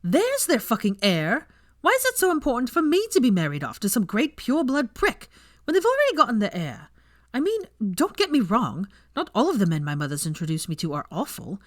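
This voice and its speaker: A woman's voice: fairly high pitch, wildly swooping pitch, brisk, dry recording, clean studio sound, voice pushed up. A woman voicing a brash, foul-mouthed young witch in audiobook dialogue, emphatic and exasperated. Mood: angry ranting.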